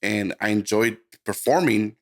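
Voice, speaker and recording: Guy music producer from guatemala, raised in the us, low voice w lots of fry, casual halting interview chat. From born in Guatemala, raised in the United States. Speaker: music producer, podcast guest.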